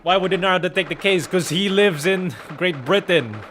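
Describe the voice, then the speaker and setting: A man's voice: sits high, normal speed, a bit bright, slight background noise. A man giving casual, off-the-cuff commentary as a game streamer. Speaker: video game streamer and amateur voice actor. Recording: music behind the voice.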